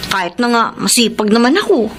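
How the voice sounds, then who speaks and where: A woman's voice: a little high, sing-song, fairly quick, a little hiss or hum. A woman playing a humble, hardworking young housemaid in a radio drama, speaking politely and earnestly. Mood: eager. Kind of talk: Filipino radio drama acting.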